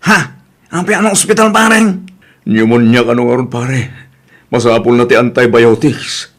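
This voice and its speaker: A man's voice: medium pitch, moderate pace, close to an everyday voice. A man acting a weary, earnest husband and father in an audio drama, with an Ilocano accent and a plaintive way of talking. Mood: anxious, pleading.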